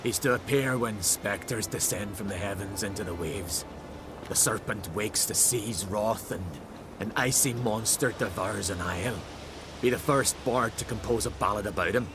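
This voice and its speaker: A man's voice: lowish voice, moderate pace, music in the background, natural voice. A man playing an earnest, eccentric island bard in a fantasy game, speaking in folksy, archaic phrasing. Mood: eager, reverent. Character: eccentric eager male island bard obsessed with legends. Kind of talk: video game cutscene acting.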